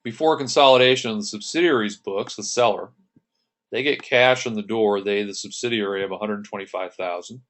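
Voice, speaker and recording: A man teaching an accounting lesson, working through the entries one step at a time and clearing his throat now and then. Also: on the low side, fairly quick, a bit bright. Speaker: accounting instructor. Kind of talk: lecture over slides.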